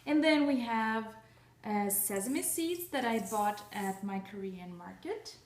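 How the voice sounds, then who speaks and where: A woman's voice: on the high side, animated, takes it slowly, background noise. A young woman from Russia demonstrates a recipe in her home kitchen, chatting casually with lots of fillers and restarts. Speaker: cooking vlogger. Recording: echoey kitchen, voice far from mic.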